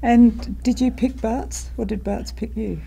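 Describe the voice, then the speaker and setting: A woman from Australia, hosting an oral history interview: a scripted opening, then measured questions. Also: a little high, fairly even intonation, gravelly, warm tone, husky. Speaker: interviewer, host.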